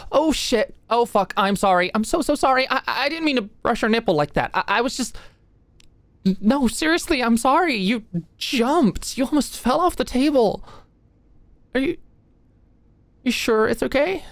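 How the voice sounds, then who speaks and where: A woman's voice: a little high, animated, moderate pace, dry recording. A woman playing an anxious, flustered young female medical student in an intimate ASMR roleplay, shy, hesitant and stammering. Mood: panicked, apologetic. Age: young adult. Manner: stammering, hesitant, muttering asides under breath. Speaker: voice actor in audio roleplay.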